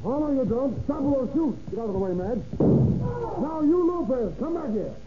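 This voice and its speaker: A tough detective, muffled radio. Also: male voice, very high voice, lively intonation, normal speed. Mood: commanding. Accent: American. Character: confident American male police detective undercover. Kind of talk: 1930s radio drama acting. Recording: muffled, noisy old radio recording.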